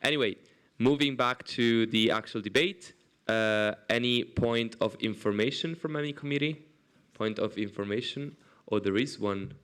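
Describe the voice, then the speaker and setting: A man chairing a formal youth assembly debate, calling on speakers in a brisk, procedural way. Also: mid-range voice, a bit bright, clean tone. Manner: brisk, procedural, prone to restarts.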